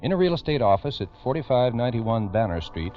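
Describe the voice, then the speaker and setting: A man's voice: medium pitch, sing-song, fast, soft background music. A man narrating a scripted old-time radio crime story in a formal, dramatic way. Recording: muffled old radio recording.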